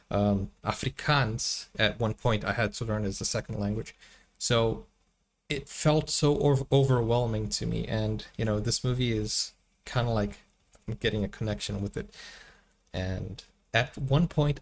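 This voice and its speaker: A man hosting a movie reaction, chatting casually and rambling through personal stories, a native Portuguese speaker talking in English. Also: medium pitch. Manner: chatty, rambling, laughs often. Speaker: reaction channel host.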